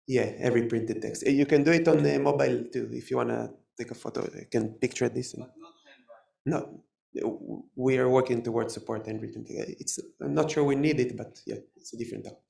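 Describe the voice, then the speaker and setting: A man with an Israeli accent giving a live technical talk, halting and full of fillers and restarts. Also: medium pitch, steady tone, vocal fry, darkish tone. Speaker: tech presenter. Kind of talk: live conference talk with demo.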